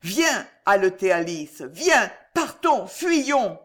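A man's voice: sits high, level tone, moderate pace, fairly bright tone. A man voicing a desperate young woman who pleads breathlessly and passionately for her lover in a dramatic audiobook. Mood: breathless urging. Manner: breathless, imploring, fervent.

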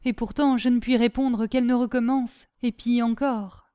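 A woman's voice: fairly high pitch, fairly even intonation, moderate pace, warm tone, smooth, voice pushed up, close to an everyday voice. A woman voicing a humble, devout young servant in a storybook reading, with a trembling, deferential, earnest delivery. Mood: earnest, respectful. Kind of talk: audiobook character acting.

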